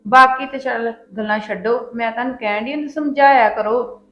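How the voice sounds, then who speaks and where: Woman playing a coaxing sister-in-law with a Punjabi accent, high voice, soft music behind. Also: steady tone, brisk, lo-fi sound, close to an everyday voice. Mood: earnest. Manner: coaxing, scolding tone. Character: caring sister-in-law, patient, gently lecturing. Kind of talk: comic family skit acting.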